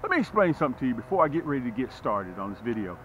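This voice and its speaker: A man hosting a fishing channel, giving a casual, folksy sales pitch to camera and repeating phrases for emphasis. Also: big pitch swings, rapid-fire, a bit bright, slight background noise, rough recording. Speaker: fishing channel host and tackle seller.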